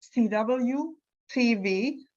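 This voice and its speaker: A woman's voice: on the high side, extremely slow, a little mellow. A woman with a German accent reading out terms for language learners on a muffled online call. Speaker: language teacher.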